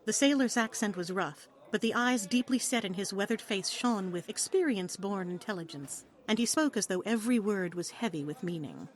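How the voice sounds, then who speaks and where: Female narrator for a dramatised audiobook, mid-pitched, scripted storytelling delivery. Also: lively intonation, a little fast, few pauses. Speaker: narrator, author reading.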